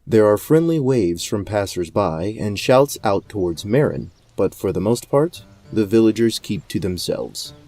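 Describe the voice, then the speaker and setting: Male game master narrating fantasy, lowish pitch, dark mellow tone, soft music underneath. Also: animated, unhurried, hardly any pauses.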